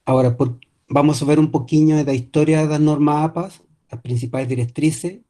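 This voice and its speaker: A man's voice: a little high, fairly even intonation, normal speed, warm tone. A man from Chile with a Chilean accent, a native Spanish speaker, giving an online academic lecture over a video call with many hesitations and restarts. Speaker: guest lecturer.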